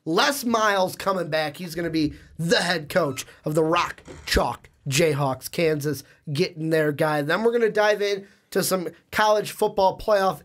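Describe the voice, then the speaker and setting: A young man hosting a sports podcast, talkative and upbeat, sounding nasal and stuffy from a cold, speaking into a studio microphone. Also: a little high. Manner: upbeat, talkative, reads plugs. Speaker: podcast host.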